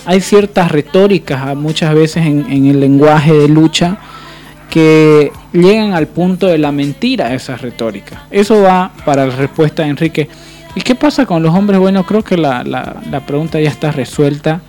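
A man's voice: a little high, moderate pace, darkish tone, faint music behind. A man with a Bolivian Spanish accent co-hosting a radio panel, speaking off the cuff in an opinionated, reflective way. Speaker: radio show co-host. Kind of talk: unscripted radio panel talk. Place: radio booth.

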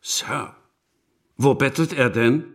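An actor playing a pompous, menacing school principal in a German radio drama, speaking with mock politeness. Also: male voice, medium pitch, slow pace. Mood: mock-polite.

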